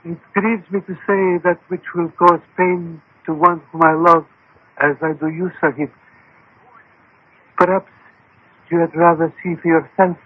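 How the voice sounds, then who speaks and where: A grave, courteous crystal gazer in a radio drama. His voice sits high for a man and has a husky grain, with formal, cryptic phrasing. The old broadcast sounds dull and lo-fi. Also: flat delivery, unhurried, pauses often. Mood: reluctant, grave. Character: grave, deferential male crystal-gazing fortune teller. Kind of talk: 1970s-80s radio drama acting.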